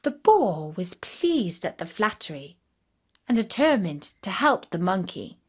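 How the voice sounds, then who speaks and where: A woman narrating a scripted fairy tale for children in an expressive, deliberate storytelling manner. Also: mid-range voice, breathy edge.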